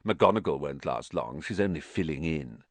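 A man's voice: a bass voice, expressive, moderate pace, dry recording, voice pushed down. Spoiled bully boy, sneering, gloating, voiced by a man. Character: smug, sneering schoolboy bully.

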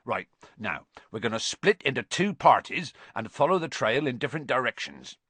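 A man voicing a big, gruff, protective gamekeeper in a storybook, with blunt rustic grammar and a wary manner. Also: mid-range voice, very animated, moderate pace, pushed and bright, dry recording, exaggerated voice. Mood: brisk, cautious. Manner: dialect grammar, urgent warnings, blunt.

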